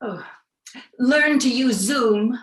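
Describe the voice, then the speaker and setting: A woman's voice: on the high side, slow pace. A woman acting a wry character coping alone, in a staged reading of a play, in a roomy distant recording.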